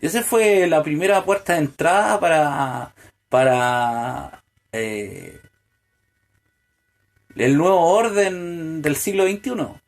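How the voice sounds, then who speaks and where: A man's voice: on the high side, lively intonation, takes it slowly, creaky, long pauses. A man delivering a solo political podcast monologue, full of hesitant fillers and restarts, building to pointed rhetorical questions. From Chile. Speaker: podcast host.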